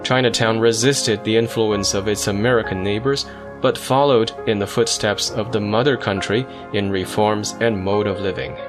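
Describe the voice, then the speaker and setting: Low-voiced man reading a memoir. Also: fairly even intonation, moderate pace, over music. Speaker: narrator.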